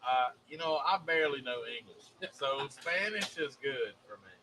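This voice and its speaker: A man's voice: medium pitch, lively intonation, moderate pace, background noise. A man with a Southern US accent, co-hosting a casual chat and telling rambling, folksy stories. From American South. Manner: folksy, drawling, rambling storyteller. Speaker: co-host.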